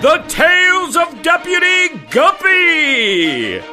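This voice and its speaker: An excitable western serial announcer, played by a man, pitched high and swinging dramatically over a music bed. He narrates theatrically, as if posing grand cliffhanger questions. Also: slow pace, heavily acted. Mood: excited, teasing.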